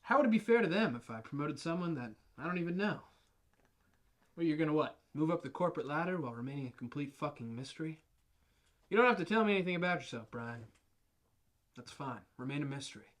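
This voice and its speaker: A man's voice: a little high, lively intonation, quick pace, natural voice. Man acting an exasperated, condescending office boss. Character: evasive, exasperated male office boss, petty and foul-mouthed. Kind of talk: comedy sketch acting.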